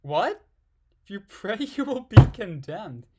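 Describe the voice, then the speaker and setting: A man's voice: sits high, very animated, takes it slowly, very noisy. A man reading aloud and commenting casually in an irreverent, giggly, puzzled manner. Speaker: amateur reader and commentator.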